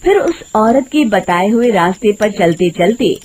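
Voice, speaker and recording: A woman's voice: mid-range voice, expressive, a little slow, darkish tone, a little hiss or hum. A woman narrating a scripted moral tale in a dramatic storytelling style.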